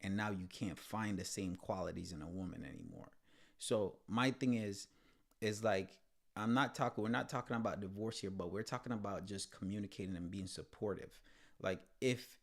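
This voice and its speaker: A man co-hosting a casual podcast with his wife, laid-back and halting, often repeating words as he starts. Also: lowish voice, vocal fry. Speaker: co-host.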